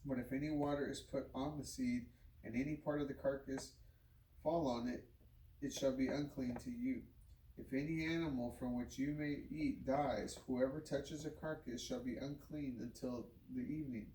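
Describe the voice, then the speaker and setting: A man reading scripture aloud in a measured, solemn way, with occasional stumbles. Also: medium pitch, fairly even intonation, dark tone. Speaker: scripture reader. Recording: roomy, distant microphone, noisy background.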